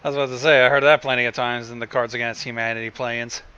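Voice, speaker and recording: A man's voice: medium pitch, flat delivery, fast talker, pushed and bright, clear, resonant tone, lo-fi sound. A man chatting casually as a co-host, throwing in jokey, sweary comments. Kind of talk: casual chat.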